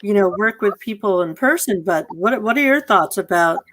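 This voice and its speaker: A woman's voice: mid-range voice, lively intonation, moderate pace, a bit bright. A woman moderating an informal community theatre Q&A on a video call, effusive and thankful in the way she talks. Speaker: host of a community theatre online talkback.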